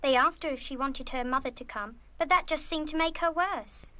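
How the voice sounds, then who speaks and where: A woman playing a forthright, inquisitive schoolgirl in an audio radio play, speaking in a brisk, direct way. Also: sits high, bright, forceful tone. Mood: worried. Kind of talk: full-cast audio radio play acting.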